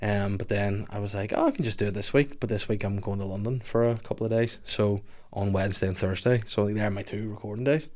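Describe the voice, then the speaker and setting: A man's voice: lowish voice, fairly even intonation, quick pace, few pauses, a little mellow. A man, hosting a casual podcast chat, joking and swearing freely. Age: 30s. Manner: jokey, swears freely, acts out quotes. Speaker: podcast host.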